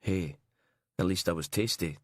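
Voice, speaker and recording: Audiobook thriller: male reader as ghostly husband, low, dry, wry, casually coaxing. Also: normal speed, no echo. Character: dead husband's apparition, wry, laid-back, coaxing man.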